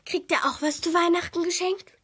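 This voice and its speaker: Little neighbour girl, radio play: very high pitch, nosy, polite, artless chatter. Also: fairly even intonation, brisk, fairly bright tone. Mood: curious. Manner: polite, artless, persistently questioning. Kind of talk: children's radio play acting.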